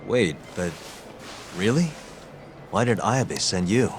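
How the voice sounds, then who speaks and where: A man's voice: fairly low pitch, animated, slow, a little hiss or hum. An actor playing an easygoing, slightly awkward male private investigator in a video game, casual and hesitant. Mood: calmer, questioning. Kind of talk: English game dub cutscene acting, naturalistic. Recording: music behind the dialogue.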